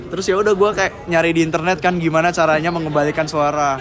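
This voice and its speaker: A young man with a slightly high voice talks loosely and casually, piling on fillers and slang as he answers questions. He sounds like he is speaking outdoors in town. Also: little pitch movement, normal speed, over music. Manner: rambling, slangy, heavy with fillers. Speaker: senior member being interviewed.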